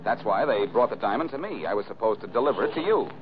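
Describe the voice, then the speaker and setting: An American actor playing a wisecracking, cool-headed amateur sleuth in a breezy, insistent 1940s radio style. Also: male voice, lowish voice, animated, fast talker, natural voice. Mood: wry, reasoning. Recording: muffled, noisy old radio recording.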